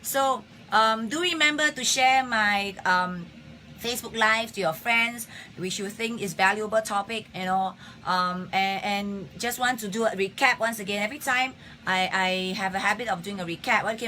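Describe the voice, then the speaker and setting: Bright, husky woman hosting a live health talk. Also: medium pitch, moderate pace, a little hiss or hum. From Singapore. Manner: chatty, greets viewers by name, many fillers. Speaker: health talk show host. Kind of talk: casual live-stream talk. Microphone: phone or webcam on a live stream.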